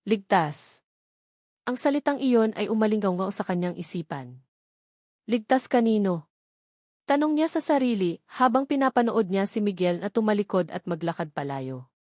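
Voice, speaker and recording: A woman reading a romantic drama aloud, in a steady, measured way with dramatic pauses. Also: medium pitch, no room sound, clean studio sound. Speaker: narrator. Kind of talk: scripted storytelling narration.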